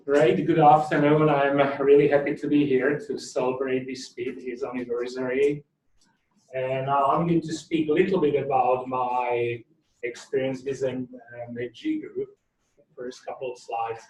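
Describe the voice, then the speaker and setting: A middle-aged man with a Slovak accent speaks as an academic at an informal conference. His pitch is average, and he is picked up from a distance in a reverberant room. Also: little pitch movement, measured pace, a little mellow, slight background noise. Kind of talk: informal conference talk with reminiscences. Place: indoor lecture room at a workshop. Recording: echoey room, distant microphone.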